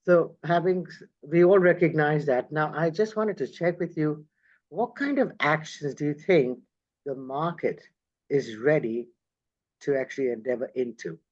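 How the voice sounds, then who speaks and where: A man's voice: a little high, normal speed, darkish tone, lo-fi sound. A man hosting an online interview over a video call, enthusiastic and effusive. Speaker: host.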